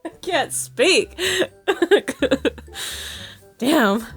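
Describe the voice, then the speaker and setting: A woman's voice: high-pitched, expressive, drawn-out words, fairly bright tone, faint music behind. A woman recording outtakes as an amateur voice actor, giggly, self-mocking and prone to swearing between retakes. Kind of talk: recording session outtakes, flubbing and retaking lines.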